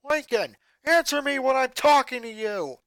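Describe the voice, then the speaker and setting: A strict father barks orders at his son, voiced by a story reader. His scolding rises and falls with real force, loud and commanding. It is recorded close, with no room sound. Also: sits high, lively intonation, normal speed, no echo, pitched above the performer's own voice. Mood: stern. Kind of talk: fan fiction reading.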